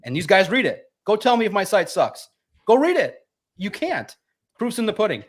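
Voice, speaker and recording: Emphatic sportswriter on a podcast. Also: male voice, high-pitched, big pitch swings, normal speed. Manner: emphatic, self-promoting, repeats himself for emphasis. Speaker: sports writer and podcast co-host. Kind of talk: animated podcast talk.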